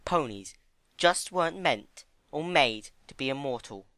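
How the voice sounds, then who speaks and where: A man plays a gentle, timid female pony in a dramatic story reading. The voice is raised well up in pitch, soft and earnest, with hesitant phrasing. It sounds dry and close. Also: expressive, a little slow, no room sound. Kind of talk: dramatic fan-fiction reading.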